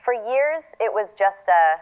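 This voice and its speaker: An actress playing a weary, regretful but resolute woman in an audio drama, speaking a halting, reflective confession. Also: medium pitch, lively intonation, clean tone, muffled recording, natural voice. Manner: halting, reflective, with sighs and pauses.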